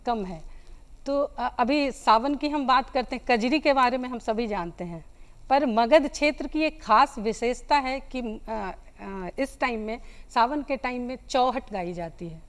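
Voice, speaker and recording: A woman with a Bihari accent, explaining folk songs as an interview guest in a gentle way with hesitant pauses. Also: a little high. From Magadh region of Bihar, India.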